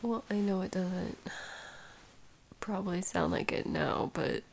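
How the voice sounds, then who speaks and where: A woman playing a sleepy, sick, clingy girlfriend in an intimate audio roleplay, speaking softly and haltingly, often near a whisper. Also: on the low side, vocal fry, a little hiss or hum. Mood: sleepy, musing.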